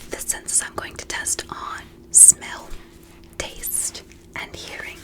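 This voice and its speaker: Casting: a woman performing a kindly, inquisitive alien physician for ASMR roleplay; whispered, breathy, careful and encouraging, with formal clinical wording. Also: takes it slowly, close to an everyday voice. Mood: gentle, methodical. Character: gentle, curious alien doctor examining a human.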